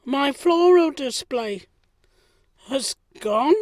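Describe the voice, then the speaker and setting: A man's voice: extremely high pitch, lively intonation, extremely slow, darkish tone, no room sound, clean studio sound, exaggerated voice. A man playing a mild-mannered gardener in a period audio drama. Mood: dismayed. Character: mild-mannered gentlemanly gardener, proud, simple, in 1919.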